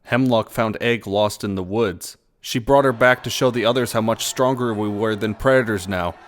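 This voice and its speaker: A man playing a clever, sarcastic small prey animal in a fantasy audio drama, with a wry, measured storytelling manner. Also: lowish voice, natural voice. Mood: reflective. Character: knowing, sarcastic, sassy small prey animal, world-weary and sharp.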